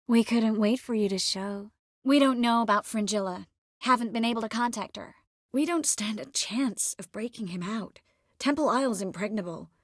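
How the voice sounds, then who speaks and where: An earnest, quick-witted young sorceress from a fantasy video game acted by a woman. She speaks with tenderness at a middling pitch, in dramatic dialogue. The sound is dry and close, free of any room. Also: no echo, natural voice. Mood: matter-of-fact.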